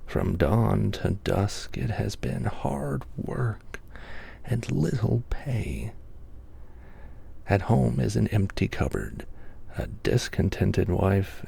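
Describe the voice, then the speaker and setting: A man voicing a weary, self-pitying old peasant in a storybook fable, soft, slow and halting. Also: fairly low pitch, very animated, creaky, husky, exaggerated voice. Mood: weary, lamenting.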